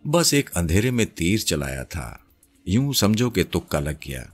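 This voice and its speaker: Man playing an easygoing uncle, deep husky voice. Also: very animated, soft background music, heavily acted. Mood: playful. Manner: easygoing, familiar. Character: cheerful, weary uncle, calm and teasing.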